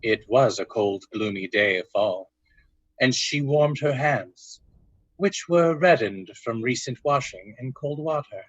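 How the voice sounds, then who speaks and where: A man's voice: mid-range voice, animated, a little slow, dry recording. A man narrating a literary radio drama from a script, in a muffled, dry recording.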